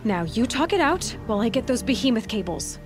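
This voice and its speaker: An actress playing a capable, impatient young heroine in a video game, direct and matter-of-fact. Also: female voice, on the high side, very animated, fairly quick. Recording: game music behind the voice.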